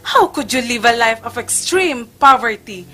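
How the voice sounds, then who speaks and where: Bright, high-voiced outspoken woman acted on stage. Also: lively intonation, moderate pace, faint music behind. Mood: indignant, accusing. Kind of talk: amateur religious stage play acting.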